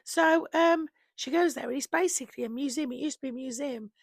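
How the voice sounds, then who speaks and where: A woman in her fifties, hoarse from losing her voice, chatting casually and rambling about books on her vlog into a microphone on a holder. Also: high-pitched, fairly quick, no echo, studio quality. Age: 50s. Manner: chatty, rambling, warm fond asides. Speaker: book vlogger.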